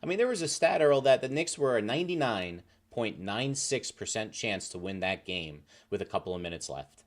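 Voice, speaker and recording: A man's voice: on the low side, animated, normal speed. A man on a sports talk panel discussing basketball casually and off the cuff, building his points step by step.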